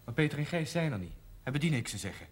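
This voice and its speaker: An actor playing a resentful, sceptical brother in a television drama, sarcastic and grumbling. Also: male voice, fairly high pitch, sing-song, quick pace, slightly rough. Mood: sour, resentful. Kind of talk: television period drama acting.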